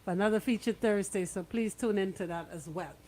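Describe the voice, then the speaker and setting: A woman's voice: mid-range voice, fairly quick, a little mellow. A woman radio host announcing new music and chatting casually on air.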